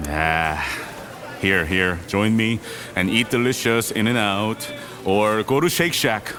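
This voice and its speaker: A man's voice: lowish voice, slow, a bit bright. An actor playing a middle-aged businessman uncle, jovial yet ruthless in an audio drama. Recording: music behind the voice.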